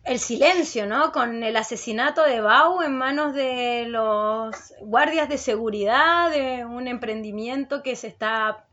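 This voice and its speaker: A woman's voice: on the high side, a little slow, hardly any pauses, pushed and bright. A woman with a Chilean accent chatting casually about politics as a radio interviewer, on a muffled recording. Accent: Chilean Spanish.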